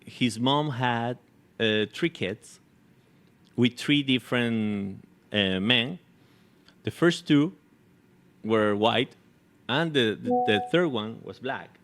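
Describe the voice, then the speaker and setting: Slow-paced man chatting. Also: medium pitch, fairly bright tone. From Venezuela. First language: Spanish. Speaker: podcast co-host. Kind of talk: casual anecdotal chat.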